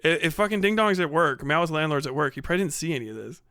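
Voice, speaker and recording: A man talks casually as a livestream host, answering his chat in slang and swearing freely. His voice is clear and forward-sounding with a bright edge. The sound is close and dry. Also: a little high, animated, fast, no room sound. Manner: profane, slangy.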